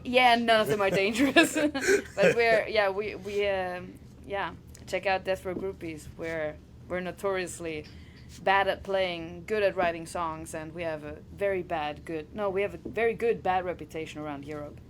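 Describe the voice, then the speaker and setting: Guest: German-native woman, low-ish voice, casual, halting, laughs a lot, faint noise behind. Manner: laughing, halting, restarts often. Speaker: wrestler guest. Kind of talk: casual interview chat.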